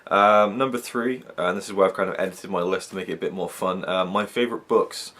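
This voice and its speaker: Brief: young man from Wales, deep voice, rambling chatty vlogger. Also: a little fast, fairly bright tone. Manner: rambling, chatty, many fillers. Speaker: YouTube reviewer. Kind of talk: casual chat to camera.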